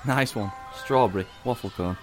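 A man's voice: on the low side, moderate pace, over music, natural voice. An actor playing an easygoing young male friend, casual and playful, in a sci-fi audio drama. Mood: cheerful. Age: young adult. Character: cheerful, easygoing young boy-next-door friend.

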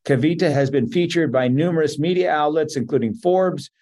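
A man's voice: on the high side, a little fast, darkish tone. A man hosting a podcast, reading a scripted intro and then interviewing a guest. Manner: reads intro steadily, lists credentials. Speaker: podcast host.

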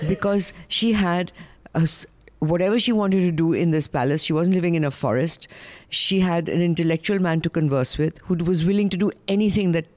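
A woman's voice: on the low side, darkish tone. A woman with an Indian English accent chatting wryly on a podcast panel. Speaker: panel guest. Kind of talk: casual panel discussion.

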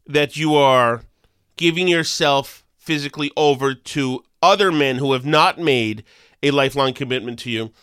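A man's voice: fairly high pitch, a bit bright, clean tone, dry recording, clean studio sound. Nasal man with a head cold. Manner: sarcastic, emphatic ranting. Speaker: podcast co-host. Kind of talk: casual political chat.

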